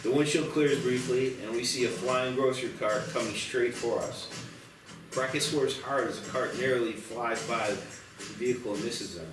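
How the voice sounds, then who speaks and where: A man narrates a screenplay's stage directions at a table read in a middle-pitched voice. He sounds roomy and a little away from the mic, with music clearly behind him. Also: moderate pace, far from the mic.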